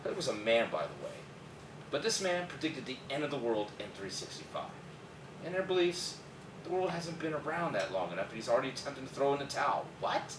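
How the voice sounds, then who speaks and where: A man's voice: mid-range voice, big pitch swings, a little fast, lightly acted. A man playing a bitter, sarcastic office worker in a screenplay table read, with an exasperated manner. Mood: scornful. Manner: sardonic, exasperated, swearing. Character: bitter, sarcastic male office worker jaded by daily routine. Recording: distant, echoey room with background noise.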